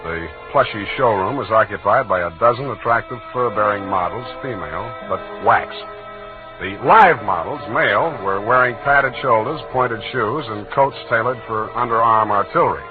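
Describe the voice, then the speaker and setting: An actor playing a wry, hard-boiled detective in a deadpan 1940s radio drama style. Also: male voice, on the low side, normal speed, natural voice. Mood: wry narrating. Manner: dry, deadpan wisecracking. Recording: tinny old radio recording, music behind.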